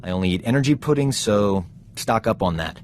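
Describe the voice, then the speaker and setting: A man's voice: fairly low pitch, lively intonation, brisk, slight background noise, close to an everyday voice. A man voicing a breezy, cocky space doctor. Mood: flippant. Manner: breezy, teasing and demanding. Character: cocky male field doctor, vain and flippant. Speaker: ship's medic. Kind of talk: video game voice acting.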